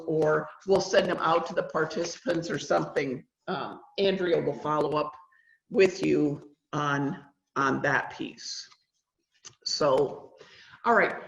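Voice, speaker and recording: A woman trainer presenting a school nutrition webinar, with a loud voice that carries and many fillers. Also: lowish voice. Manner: chatty, uses many fillers. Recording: roomy, dull lo-fi sound.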